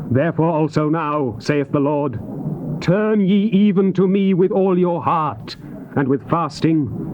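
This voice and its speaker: A male actor playing a solemn Old Testament prophet, declaiming scripture with emphatic, oratorical phrasing. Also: high-pitched. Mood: solemn pleading. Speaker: actor reading the Bible. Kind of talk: dramatized scripture reading, theatrical declamation. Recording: muffled, noisy old cassette tape.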